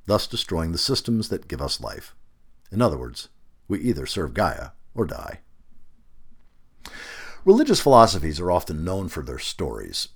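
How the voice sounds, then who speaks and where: A man reading a written essay aloud as scripted narration, in an emphatic, expressive manner. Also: low voice, husky. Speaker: audio narrator reading a feature article.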